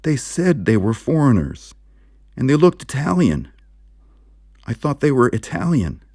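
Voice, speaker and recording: A man voicing a gruff, bullheaded American small-town sheriff in an audiobook, blustering and yelling. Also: mid-range voice, sing-song, a little slow, no echo, clean studio sound, voice pushed up. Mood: defensive. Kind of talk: audiobook character voice.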